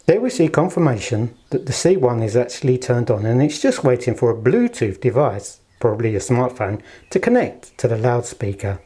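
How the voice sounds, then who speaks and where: A man's voice: mid-range voice, sing-song, normal speed, hardly any pauses, mellow, slightly rough. A man giving a casual product demonstration in a polite, chatty way, recorded on a handheld camera as he presents. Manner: polite, chatty, fond of understated superlatives. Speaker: product presenter for an audio shop. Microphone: handheld camera held in one hand.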